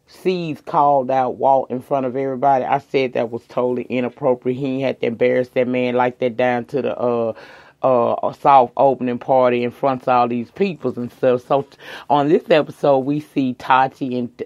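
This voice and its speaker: A middle-aged woman, hoarse tonight, chatting casually and sassily to viewers as she reviews a reality show. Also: extremely low pitch, a little mellow, lo-fi sound. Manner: chatty, sassy, addresses viewers as girl and y'all.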